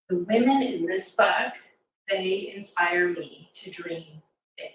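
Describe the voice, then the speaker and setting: A woman's voice: mid-range voice, recorded from a distance. A middle-aged woman with an American accent gives an informal talk for children in a teacherly manner. She is heard a little way from the mic in an echoey room, with faint noise. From New York City suburbs, United States. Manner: teacherly, checking in with "right?". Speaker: children's author and former teacher.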